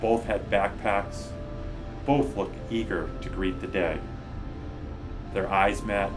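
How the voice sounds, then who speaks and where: A man with a lowish voice reads a children's story aloud. He phrases it deliberately and takes plenty of time, while music plays clearly behind him. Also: steady tone. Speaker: guest storybook reader. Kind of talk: children's book read-aloud.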